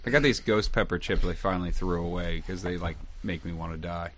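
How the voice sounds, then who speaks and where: A man's voice: sits low, brisk, fairly bright tone. A man hosting a podcast, tired and loose, telling stories and joking crudely in a casual chat with friends.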